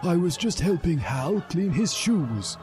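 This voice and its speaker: Sly old wizard headmaster, raised pitch, soft mellow tone, smug, oily, comic storybook, music behind. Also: male voice, big pitch swings, unhurried. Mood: smug, breezy.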